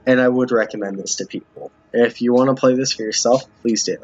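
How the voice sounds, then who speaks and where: A man with a husky, mid-pitched voice gives casual commentary on a game he is streaming. He reads text aloud, stumbling and doubling back at times. The recording is dull and a bit roomy. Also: steady tone, a little fast. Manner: stumbles while reading, repeats lines. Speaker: streamer playing a visual novel with viewers. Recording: muffled, roomy home recording.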